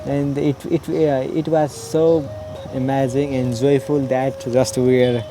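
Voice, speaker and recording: A man's voice: mid-range voice, little pitch movement, a little slow, over music, low-quality recording. A man from Nepal, a native Nepali speaker, speaking English with a Nepali accent, hesitantly sharing his meditation experience with many fillers and restarts. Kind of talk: unscripted personal testimony.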